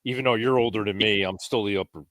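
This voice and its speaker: A man's voice: fairly low pitch, fast talker, a bit bright, dry recording. A man co-hosting a podcast, joking and ribbing the others in casual banter. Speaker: podcast co-host.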